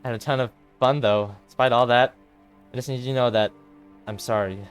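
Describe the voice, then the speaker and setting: A despairing teenage girl, a club president weighed down by guilt acted by a man. The voice is quiet and hesitant over music, in the style of visual novel drama. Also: mid-range voice, fairly even intonation, a little fast, smooth, natural voice. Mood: remorseful. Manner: subdued, hesitant, self-blaming.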